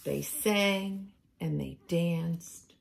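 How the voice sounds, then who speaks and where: A middle-aged woman reading a picture book aloud for young children in a deliberate, expressive storytelling way, indoors at home. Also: lowish voice, vocal fry.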